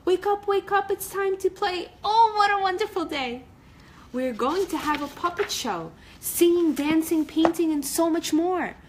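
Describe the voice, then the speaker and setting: A woman voicing an exuberant storybook flying sprite in a loud, cheering sing-song way. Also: very high voice, unhurried, a little hiss or hum, voice pushed up, low-quality recording. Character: exuberant singing wake-up fairy-like flyer named Cory.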